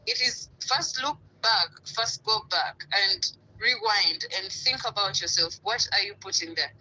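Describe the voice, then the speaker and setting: Bright-voiced woman from Uganda. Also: mid-range voice, a little slow, low-quality recording. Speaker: guest speaker. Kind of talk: live stream talk. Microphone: live stream call.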